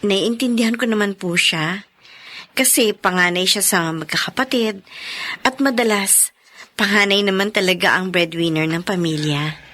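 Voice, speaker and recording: A woman's voice: medium pitch, normal speed, a bit bright, natural voice. A woman playing a polite, patient young girlfriend in a Filipino radio drama, deferential and earnest. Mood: earnest, understanding. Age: young adult.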